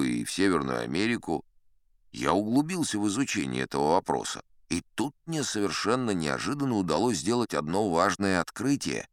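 Boastful old sea captain in an audio play, deep smooth voice, mock-serious tall tales with theatrical pauses. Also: male voice, fairly bright tone, dry recording, clean studio sound, full character voice. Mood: wry, confiding, then pompous, self-satisfied. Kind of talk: dramatized audiobook storytelling.

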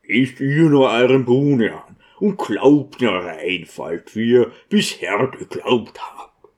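A man's voice: mid-range voice, expressive, slow, a little mellow. A man voicing an eager, boastful deacon in an audiobook, using affected, oddly stressed expressions. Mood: stubborn, resigned. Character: eager, boastful deacon showing off newly learned city slang.

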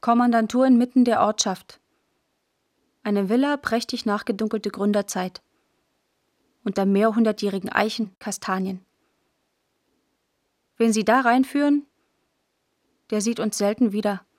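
A woman narrating literary prose for a radio play in a deadpan style. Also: medium pitch, measured pace, long pauses, dry recording, clean studio sound.

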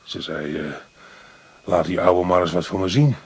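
An actor in a radio play, playing a distraught, guilt-ridden poor painter who stammers, halts and repeats himself. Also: male voice, sits low, steady tone, moderate pace, creaky, a little mellow, husky, full character voice. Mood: subdued. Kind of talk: Dutch radio play acting.

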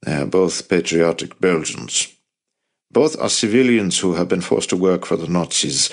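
A man's voice: low voice, animated, normal speed. A man voicing a determined, impassioned male resistance fighter in an audiobook, earnest, blunt and persuasive. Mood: blunt, confident.

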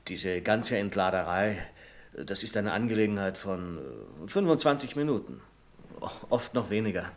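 A man's voice: fairly low pitch, sing-song, normal speed. An actor playing a deferential but self-assured bank deputy in a German radio play, polite and matter-of-fact as he explains things. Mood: reassuring, explanatory.

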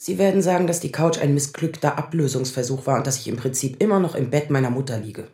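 A woman's voice: sits low, quick pace, dark tone. In a roomy, echoing space, a woman in her thirties with a sardonic, defensive edge. She confides like a patient in therapy, in the natural style of a radio drama. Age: 30s. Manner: sardonic, confessional.

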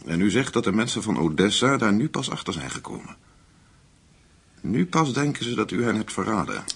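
An actor playing a composed, shrewd American CIA agent in a radio drama, measured, polite and probing. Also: male voice, fairly low pitch, very animated, vocal fry, fairly bright tone, slightly rough, heavily acted. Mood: coolly probing. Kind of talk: Dutch radio drama acting.

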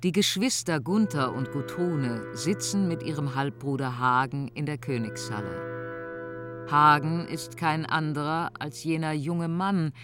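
Narrator for fantasy audio drama: unhurried, deliberate scripted storytelling over clear music. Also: medium pitch. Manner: measured, storytelling.

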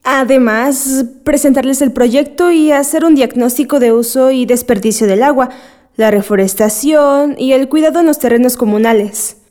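An actress playing a calm, courteous young village woman in a radio drama. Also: sits high, normal speed, lightly acted.

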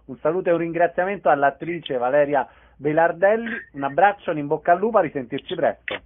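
A man's voice: a little high, fast. A man hosting a radio interview. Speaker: radio host. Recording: tinny phone-like radio sound, noisy.

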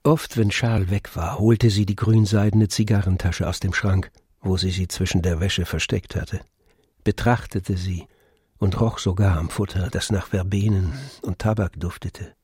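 A man narrating a literary radio drama from a script in a measured storytelling manner. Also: deep voice, steady tone, darkish tone, breathy edge, no room sound, studio quality. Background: music with violin.

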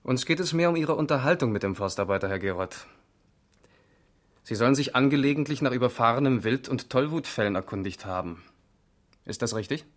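A man's voice: mid-range voice, lively intonation, brisk, natural voice. Male actor for insistent police interrogator, 1970s radio drama, medium register, formal, controlled, pointed questions. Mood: dry, formal. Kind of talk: 1970s German radio crime drama acting.

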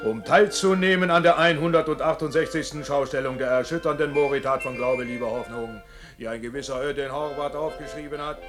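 A man's voice: medium pitch, steady tone, a little fast, lightly acted. Actor as fairground showman guy, pompous theatrical announcing, grim radio play, drawn out phrases, faint music. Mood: solemn, showy. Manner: grandiloquent, addressing spectators, drawing out phrases.

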